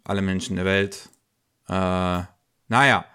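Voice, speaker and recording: A man's voice: deep voice, steady tone, slow, bright, forceful tone, clean tone. A man with a cold, hosting a podcast and chatting casually about news, with many fillers and restarts. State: has a cold. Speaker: podcast host.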